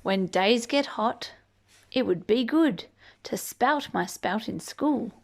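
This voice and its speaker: Woman, children's read-aloud, playful, slow pace, animated intonation, drawn-out emphasis. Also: on the high side, creaky. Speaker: storybook reader.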